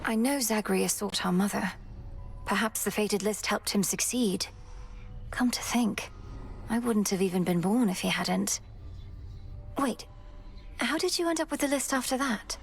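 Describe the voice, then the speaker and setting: A woman voicing an earnest, resolute young witch princess in a game, speaking in a measured, quiet way over game music. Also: medium pitch, expressive, vocal fry. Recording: game dialogue with music behind.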